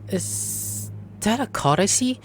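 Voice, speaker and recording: A woman's voice: medium pitch, expressive, slow pace. A woman doing casual gameplay commentary, muttering offhandedly and breaking into sudden exclamations. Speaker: gaming video host. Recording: game music behind voice.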